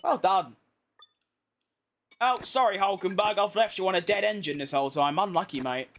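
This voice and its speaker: A man's voice: high voice, normal speed, a bit bright, a little hiss or hum. A tired British man streaming a racing game, chatting casually and rambling in a self-deprecating way. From Britain. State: tired from being awake all day. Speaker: gaming streamer. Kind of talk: casual live-stream gameplay chat.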